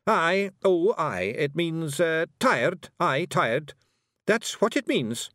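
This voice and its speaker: A man voicing a tiny, brash male fairy warrior chief with a broad Scottish accent, loud and boisterous. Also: fairly high pitch, big pitch swings, takes it slowly, dry recording, studio quality, pitched above the performer's own voice, heavily acted. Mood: bluster.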